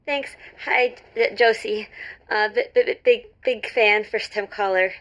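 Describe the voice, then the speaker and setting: A woman playing a shy, lonely first-time radio caller in an audio drama, nervous and halting, with frequent stammers, on a slightly dull caller line. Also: mid-range voice, steady tone, natural voice. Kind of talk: semi-improvised audio drama, call-in show.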